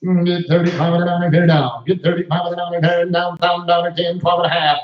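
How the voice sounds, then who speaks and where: A man working as a livestock auctioneer, calling bids in a rapid rhythmic chant. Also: sits high, level tone, echoey room, muffled recording. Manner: rhythmic repetitive bid calling. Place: cattle sale ring.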